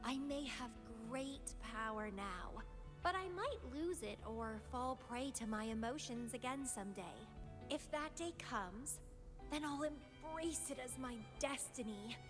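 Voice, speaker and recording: High-voiced, teasing, obsessive young woman. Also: measured pace. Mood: fervent, devoted. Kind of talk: anime-style video game voice acting. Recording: loud music behind the voice. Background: music.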